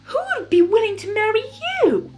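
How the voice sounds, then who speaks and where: A woman's voice: very high voice, moderate pace, soft background music, pitched above the performer's own voice. A woman voicing indignant, suspicious young cartoon ponies in a story, with exclaimed, demanding questions. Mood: shocked, indignant.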